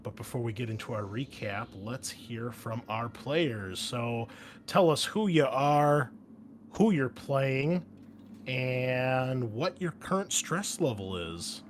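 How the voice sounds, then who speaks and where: A man hosting a tabletop game podcast as game master, upbeat and jumping louder on key words. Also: medium pitch, expressive, takes it slowly.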